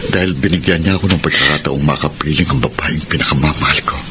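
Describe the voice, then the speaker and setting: A man's voice: very deep voice, fast, noisy background. An actor playing a sincere, devoted man in love in a romantic Filipino radio drama. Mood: tender, pleading. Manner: tender, earnest. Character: devoted, sincere male suitor, later loving husband.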